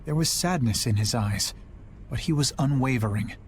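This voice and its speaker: An actor playing an earnest young male knight in a video game, speaking calmly and sincerely. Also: mid-range voice, slight background noise, natural voice. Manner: calm, sincere, measured.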